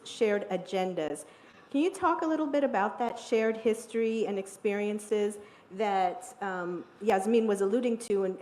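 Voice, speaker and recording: A woman's voice: mid-range voice, a little mellow, rough recording. A woman moderating a panel, thanking the speaker and courteously posing the next question.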